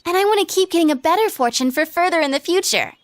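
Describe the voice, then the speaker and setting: High-voiced, coy teen idol girl. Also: lively intonation, fast talker, no echo. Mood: sweet. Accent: American. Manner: cutesy, coy, playful. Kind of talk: anime video game dub acting.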